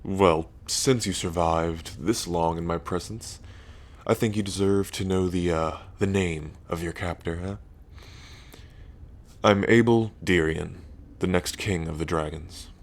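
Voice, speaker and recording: A man playing a lonely ancient dragon king in roleplay ASMR, proud yet bashful, with halting, deliberate phrasing. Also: deep voice, steady tone. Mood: lonely, confiding. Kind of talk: roleplay ASMR audio drama.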